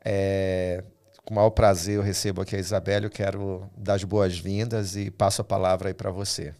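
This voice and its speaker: Male podcast host, low-pitched, conversational interview delivery. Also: little pitch movement, normal speed, a little mellow.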